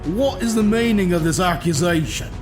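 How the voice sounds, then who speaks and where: A man's voice: sits high, lively intonation, moderate pace, over music. A man playing an imposing false god in disguise, indignant and sneering, in a dramatic audio story. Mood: haughty scorn. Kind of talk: dramatic audio fiction acting.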